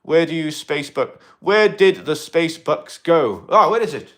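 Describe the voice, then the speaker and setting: A man in an alien costume, chatting casually on a livestream and answering viewer comments in a rambling, excitable way. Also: on the high side, unhurried. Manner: rambling and excitable, with many fillers and restarts; loud bursts of excitement between quieter asides.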